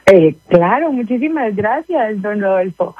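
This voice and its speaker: A woman's voice: medium pitch, expressive, unhurried, husky, no room sound. A woman in her forties with a Costa Rican accent, a guest on a radio interview, talking gratefully and at length with small restarts. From Costa Rica. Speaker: guest, community wellness manager. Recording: tinny phone line.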